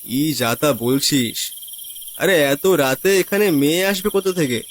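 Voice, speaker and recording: A man's voice: on the high side, measured pace, pitched above the performer's own voice, lightly acted. A man voicing a chatty young male friend in a cartoon ghost story, full of exclamations. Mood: dismissive. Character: dismissive young male friend on a night trip.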